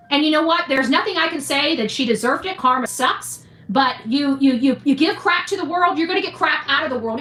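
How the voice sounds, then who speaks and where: Very high-pitched indignant woman ranting. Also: sharp tone. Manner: indignant, scolding, repeats phrases for emphasis; blunt, mildly profane. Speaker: livestream show host. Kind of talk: impassioned opinion rant. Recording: echoey room, faint music behind.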